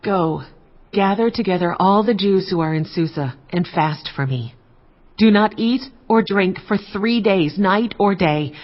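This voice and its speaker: An actress playing a grave, resolute queen in a dramatized scripture production, speaking in an earnest, measured way. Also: mid-range voice, dull audio, lightly acted.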